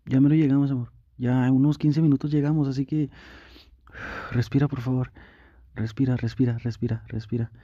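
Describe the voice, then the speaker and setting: A man's voice: medium pitch, level tone, a little fast, dark tone, no echo, dull audio, natural voice. A man playing a doting, anxious young boyfriend in an intimate ASMR roleplay, tender and reassuring, repeating his words insistently. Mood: panicked, soothing.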